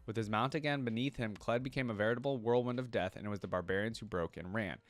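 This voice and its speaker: A man's voice: lowish voice, fast, no echo. A man hosting a reaction video, reading lore aloud in a casual way with musing asides. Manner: musing asides between read-aloud passages.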